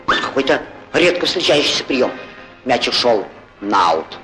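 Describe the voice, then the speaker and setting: A man playing an excitable, good-humoured football commentator in a 1940s cartoon, chatty and theatrical, on a noisy old film soundtrack. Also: mid-range voice, unhurried, faint music behind. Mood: lively, engaged. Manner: chatty, theatrical, exclaiming, correcting himself. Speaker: sports commentator.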